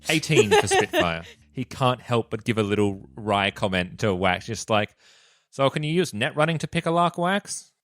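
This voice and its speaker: A man's voice: on the low side, expressive, moderate pace, a bit bright, no echo, clean studio sound. A man playing a tabletop game, chatting casually and describing what his character does. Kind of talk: casual in-character role play and banter.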